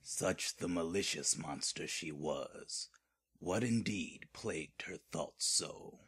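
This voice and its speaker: A man playing a grave, ominous narrator of a horror audio drama, theatrical and gravelly. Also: low voice, slow, fairly bright tone, full character voice.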